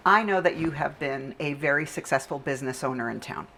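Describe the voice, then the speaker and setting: Middle-aged Chilean-raised woman, Spanish first language, lowish voice, faint room tone. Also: fairly quick, fairly bright tone, slight background noise. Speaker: college president. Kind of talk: casual conversation.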